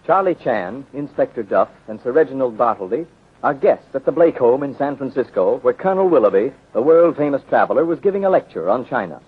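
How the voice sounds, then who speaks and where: A man's voice: medium pitch, big pitch swings, a little fast, slight background noise. A man announcing and narrating a 1930s radio mystery serial in a formal, dramatic scripted style. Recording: muffled, tinny 1930s radio recording.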